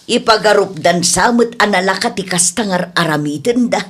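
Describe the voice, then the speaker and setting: A woman's voice: mid-range voice, normal speed, fairly bright tone, close to an everyday voice. A woman playing a nosy, disapproving neighbour in a radio drama, with a gossipy, scolding manner.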